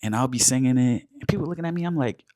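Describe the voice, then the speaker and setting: A man in his thirties from Philadelphia, hosting a casual conversation with his old teacher, laid-back and quick with short affirmations. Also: mid-range voice, warm tone. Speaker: host.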